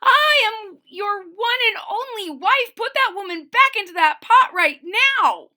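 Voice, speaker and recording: An indignant, jealous old wife from a storybook folktale, acted out by a woman. Her voice is pitched high and bright, and she shouts her demands in anger. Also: expressive, a little slow, heavily acted. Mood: furious.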